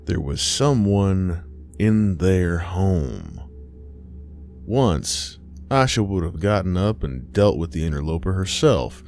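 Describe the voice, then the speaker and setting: A man's voice: low-pitched, expressive, slow pace, vocal fry, darkish tone. A man narrating a scripted Southern Gothic horror story in a folksy storytelling manner. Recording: faint music underneath. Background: faint music.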